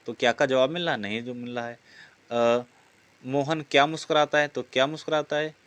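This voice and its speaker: A man's voice: medium pitch, fairly even intonation, fairly quick, fairly bright tone, clean tone, no echo. Male teacher quizzing and explaining. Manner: explains through repeated rhetorical questions he answers himself. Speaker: Hindi grammar teacher for exam preparation. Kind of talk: lesson working through practice questions.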